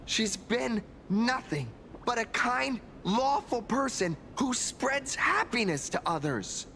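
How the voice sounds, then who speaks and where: A man's voice: very high voice, expressive, takes it slowly, gravelly, sharp tone, a little hiss or hum, heavily acted. A man playing an earnest, trusting heroic film star in a video game, speaking with some hesitancy. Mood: earnest, troubled. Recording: music behind the voice.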